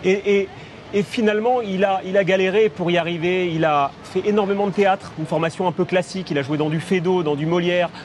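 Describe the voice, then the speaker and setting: A man's voice: high-pitched, steady tone, fairly quick, slight background noise. A man presenting a film segment on a talk show, enthusiastic and full of restarts. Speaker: film columnist. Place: outdoors at a café terrace.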